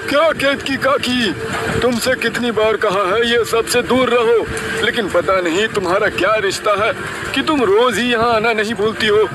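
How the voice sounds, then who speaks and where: Woman acting high-pitched nagging villager over noise. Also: normal speed, lightly acted. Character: nagging, disapproving villager scolding an aunt. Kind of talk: dramatic audio story acting.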